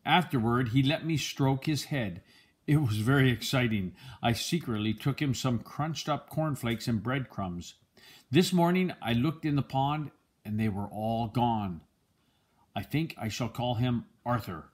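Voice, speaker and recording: An older man with a husky voice reads a children's picture book aloud in a gentle, measured storytelling manner. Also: mid-range voice. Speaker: storybook reader, a grandfather.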